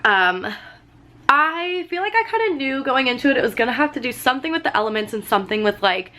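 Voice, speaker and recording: A woman in her twenties chatting casually while doing her makeup, full of "like" and "um", her voice slightly hoarse from getting sick. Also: high voice, big pitch swings, quick pace, bright, forceful tone. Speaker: vlogger. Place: sitting on a fur rug at home.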